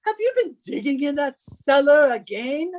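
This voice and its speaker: A woman's voice: high-pitched, big pitch swings, moderate pace, a little mellow. A woman playing an imperious, henpecking wife in a radio suspense drama, nagging and scolding with theatrical emphasis. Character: bossy henpecking British wife, shrill and imperious.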